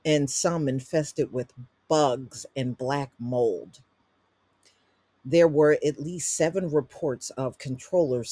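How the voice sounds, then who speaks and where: Male news reader, medium pitch, unhurried and halting article read-through. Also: darkish tone. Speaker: presenter reading a news article. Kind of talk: reading an article aloud.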